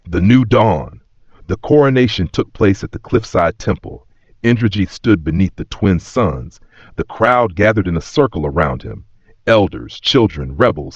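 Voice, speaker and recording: A man narrating a scripted dramatic story in a solemn, measured, reverent manner. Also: sits low. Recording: roomy echo, slightly dull sound.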